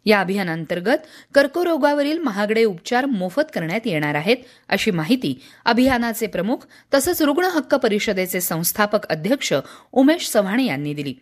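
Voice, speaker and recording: A woman presents a scripted radio newsreel in a formal, measured manner. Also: mid-range voice, sing-song. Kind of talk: scripted radio news narration.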